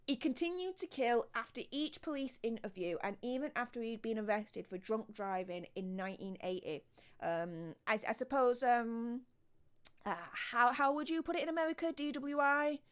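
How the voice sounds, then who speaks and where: A woman's voice: on the high side, normal speed, clear, resonant tone. A young woman with a Yorkshire accent hosting a casual commentary vlog, chatting with lots of fillers and restarts and reading out article passages. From Yorkshire, northern England. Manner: chatty, with many fillers and restarts, reads article excerpts aloud. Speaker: YouTube host.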